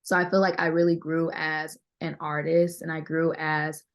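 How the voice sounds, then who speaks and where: A woman with a deeper voice, chatting casually and candidly as an interview guest, often repeating herself for emphasis. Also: level tone, normal speed. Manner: candid, conversational, emphatic repetition. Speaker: interview guest, singer-songwriter. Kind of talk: casual chat.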